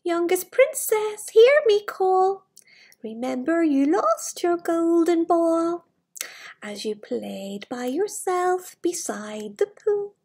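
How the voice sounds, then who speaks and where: A woman voicing a small, politely insistent male frog in a storybook, pleading in a drawn-out singsong. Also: extremely high pitch, a little mellow, heavily acted.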